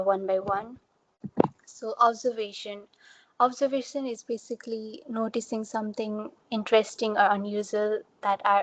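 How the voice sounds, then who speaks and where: A woman's voice: mid-range voice, no room sound. A young woman from Nepal giving an online talk, explaining things step by step with simple examples. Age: young adult. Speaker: student researcher giving a talk. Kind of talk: online presentation. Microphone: computer mic on a video call.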